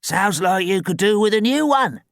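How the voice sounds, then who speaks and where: A man's voice: high voice, animated, moderate pace, a bit bright, no room sound, studio quality, pitched above the performer's own voice. A man voicing a plump, genial, puzzled elderly park superintendent with a wheezy voice in a children's story.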